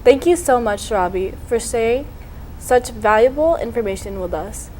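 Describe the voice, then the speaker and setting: A woman's voice: a little high, animated, moderate pace, darkish tone, slight background noise. A woman hosting a local TV interview show, upbeat and full of praise for her guest. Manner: upbeat, complimentary. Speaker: TV show host.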